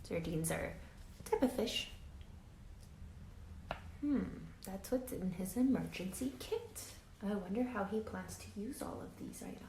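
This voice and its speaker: Woman, teacher reading a storybook, slow deliberate pace, emphatic delivery, lively pitch swings, explanatory asides. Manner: deliberate, emphatic, drawing out listed words. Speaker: teacher reading a picture book aloud to children. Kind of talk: expressive children's story read-aloud with explanatory asides. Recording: echoey room, faint background noise.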